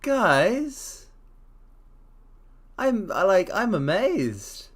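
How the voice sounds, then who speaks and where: A man's voice: high voice, very animated, very slow. A man chatting on a live stream in a playful, theatrical manner, addressing his listeners as mortals. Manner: hums softly to himself between phrases. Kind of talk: casual live-stream chat.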